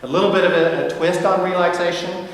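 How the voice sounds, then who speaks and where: A man's voice: high-pitched, fairly even intonation, fast talker, slight background noise. An older man giving a friendly, rambling academic lecture with many fillers and restarts. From United States. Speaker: guest lecturer. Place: large lecture hall. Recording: echoey hall from far off.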